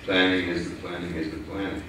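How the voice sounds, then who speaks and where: A man's voice: low-pitched, level tone, a little fast, sharp tone, slight background noise. A man from the audience commenting at a conference, stammering and unsure. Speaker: audience member. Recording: echoey hall, distant mic.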